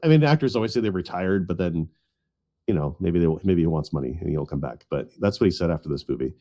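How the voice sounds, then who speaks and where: A man's voice: deep voice, expressive, quick pace, warm tone. A man speaking as a podcast panelist in an informal, hedging, conversational style. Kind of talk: casual chat.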